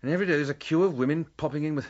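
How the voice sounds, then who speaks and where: An actor playing a testy, sardonic military gentleman in a radio drama, well-mannered with a sarcastic edge. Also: on the high side, racing, dull audio, close to an everyday voice. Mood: awkward, genial.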